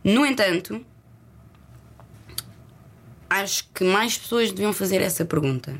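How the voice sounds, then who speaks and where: A woman with a Lisbon Portuguese accent talks as a guest in a candid, conversational interview. Her pitch sits in the middle, and she thinks as she speaks, halting and picking her words, now and then slipping into English. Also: lively intonation.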